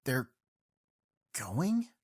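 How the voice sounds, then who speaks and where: An actor playing an earnest, battle-weary masked hero in an audio drama, with a husky voice. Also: male voice, mid-range voice, very animated, extremely slow, darkish tone, heavily acted. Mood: puzzled, troubled.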